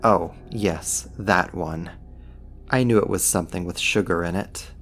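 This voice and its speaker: A man's voice: low voice, a bit bright, slight background noise. A man playing a smug, possessive archdemon in an ASMR roleplay, teasing and coaxing a human in an intimate, amused manner. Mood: smooth persuasion. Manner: teasing, smug, deliberate delivery. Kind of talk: ASMR roleplay acting, intimate second-person monologue.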